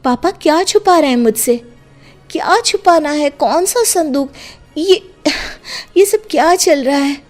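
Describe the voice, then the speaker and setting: A woman plays a young girl in a cartoon tale, curious and easily alarmed. The voice is high, with unhurried, slow delivery. Music plays faintly behind it. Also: expressive, darkish tone. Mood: suspicious, puzzled. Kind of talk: animated story voice acting.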